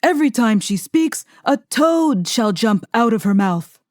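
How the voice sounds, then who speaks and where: A woman's voice: fairly high pitch, lively intonation, unhurried, no echo, studio quality. Woman voicing a commanding little fairy-tale dwarf man. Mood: solemn cursing. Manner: commanding, pronouncing. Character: three little male dwarfs, magical, stern judges.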